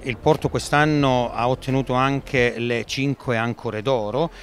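A man's voice: medium pitch, fairly even intonation, measured pace. A middle-aged man, a port director, answering interview questions at a boat show into a handheld mic, hesitating often with fillers and restarts. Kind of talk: trade fair interview.

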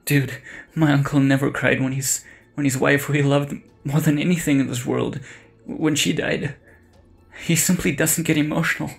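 An earnest, grieving college student , played by a young man in an audio drama. His voice is somewhat high with a gravelly fry and a hesitant delivery. Faint music runs behind it. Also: fairly even intonation, normal speed. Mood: troubled. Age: young adult.

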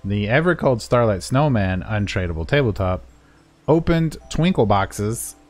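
A man's voice: on the low side, animated, a little slow, darkish tone, soft background music. A man giving a casual, unscripted game walkthrough in a playful, self-deprecating way. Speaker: gaming video creator and guide narrator.

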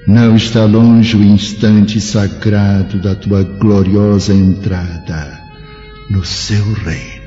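Casting: reverent, kindly spirit played by a man for radio drama, low and dark-toned. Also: flat delivery. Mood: solemn, tender. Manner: solemn, measured, reverent. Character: solemn, benevolent male spirit guide announcing heavenly glory. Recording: dull, long reverb, faint music.

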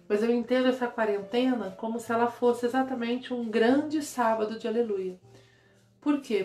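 Nasal Brazilian woman in an echoey room. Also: mid-range voice. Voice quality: slightly nasal from rhinitis. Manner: conversational, often checking in with "né". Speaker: therapist and meditation guide. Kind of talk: casual reflective livestream talk to followers. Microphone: phone or webcam at arm's length. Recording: echoey small room with music behind.

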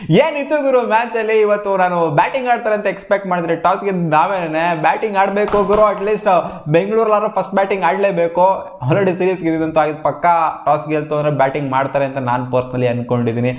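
A young man gives an excited, slangy cricket match breakdown from a small home studio, waving his hands as he talks. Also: sits high, fast, reverberant. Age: young adult. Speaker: cricket YouTuber. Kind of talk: post-match analysis vlog. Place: small home studio with gaming chair and LED lights.

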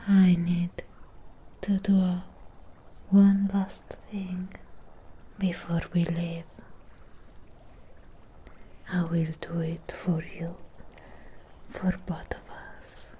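Female Frankenstein creature, played by a woman, devoted and possessive. Low, hushed ASMR whisper with very little pitch movement, soothing, close-miked. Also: flat delivery, slightly rough, full character voice. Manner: whispered, slow, soothing, drawn-out phrases. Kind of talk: ASMR roleplay, close-up whispering and soft talking. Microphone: close-up microphone.

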